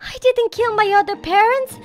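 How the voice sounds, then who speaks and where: A woman's voice: very high-pitched, moderate pace. A woman playing a desperate, starving captive girl who pleads and whimpers in an amateur role-play story. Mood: pleading.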